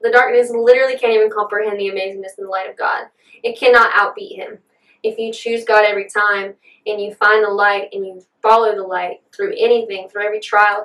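A woman's voice: mid-range voice, fairly bright tone, echoey room, distant mic, low-quality recording. A woman in her twenties co-hosting a casual faith podcast, earnest and bubbly, laughing often. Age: 20s. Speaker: podcast co-host.

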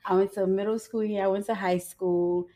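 A woman's voice: mid-range voice, little pitch movement, moderate pace, mellow, slight background noise. A woman in her thirties from Ghana, a guest on a faith podcast, talking chattily and a little nervously with frequent giggles. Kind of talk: faith-based podcast interview.

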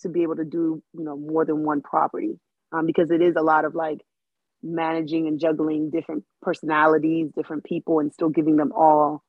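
A woman's voice: deep voice, completely flat delivery, fairly quick, husky, dry recording. A woman from the Dominican Republic, raised in Maryland, talking about hosting as a podcast guest in an earnest, thoughtful way with many fillers. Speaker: guest, Airbnb host. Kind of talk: casual podcast chat. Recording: tinny phone-line sound.

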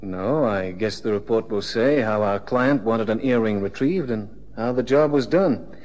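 An actor playing a loyal, plain-spoken private detective with a matter-of-fact manner in a radio drama. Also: male voice, lowish voice, unhurried, darkish tone, natural voice. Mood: easy, discreet. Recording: long reverberant echo.

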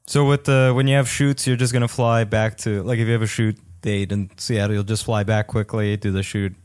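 A man's voice: on the low side, flat delivery, brisk, a little mellow. A man co-hosting a podcast over a video call, playful and joking. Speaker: podcast co-host. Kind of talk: casual video call chat.

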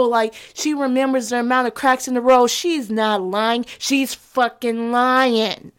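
Sassy woman w a lisp, kinda high voice, talks slow and sarcastic. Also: fairly bright tone. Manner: sassy, sarcastic, heated rants. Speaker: vlogger. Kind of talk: comedic advice vlog.

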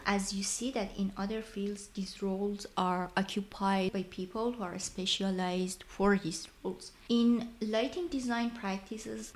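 A woman co-host from Iran, a non-native English speaker, narrating and adding earnest personal comments. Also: mid-range voice. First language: not English. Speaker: podcast co-host.

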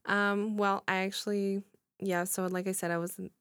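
Young female co-host, gravelly and giggly, casual teasing, dry close mic. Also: medium pitch, flat delivery, moderate pace, a bit bright, no echo. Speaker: podcast co-host. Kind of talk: casual chat. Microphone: headphones on, desk mic.

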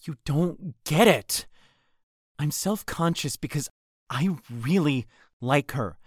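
A man's voice: on the high side, lively intonation, takes it slowly, husky, no echo, full character voice. Man acting insecure lovesick young guy, hesitant, voice swings up and down a lot, dry close recording, audio drama. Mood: self-conscious. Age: young adult.

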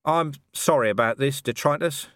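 A man's voice: medium pitch, normal speed, a bit bright, dry recording, studio quality, pitched above the performer's own voice, lightly acted. A man voicing a sardonic male commander, a reluctant nobleman, curt and exasperated, in a storybook reading. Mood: uneasy.

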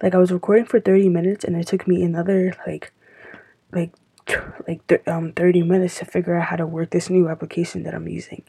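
A teenage girl hosting her own podcast, sounding stuffy and tired, rambling with lots of fillers in a soft, confiding way. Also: on the low side, level tone, quick pace, vocal fry, breathy edge, dry recording. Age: teens. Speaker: podcast host. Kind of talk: casual solo podcast talk.

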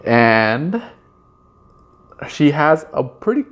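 A man in his thirties from Los Angeles, hosting a relaxed, friendly interview with plenty of fillers. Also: on the high side, drawn-out words. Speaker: host. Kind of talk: casual interview. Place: indoors, downtown studio.

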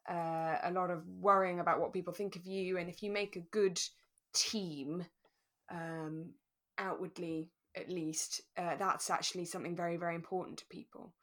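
A woman co-hosting a podcast in relaxed discussion, explaining things thoughtfully and with emphasis. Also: on the low side, moderate pace. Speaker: podcast co-host. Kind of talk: relaxed chat reviewing a radio drama.

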